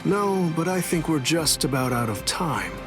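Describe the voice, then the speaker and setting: A man's voice: fairly high pitch, lively intonation, moderate pace, lightly acted. A man playing a cocky, laid-back rebel swordsman in an anime game, talking in a blunt, wry, casual way. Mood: wry, defiant. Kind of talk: anime game cutscene dialogue. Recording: dialogue over clear background music.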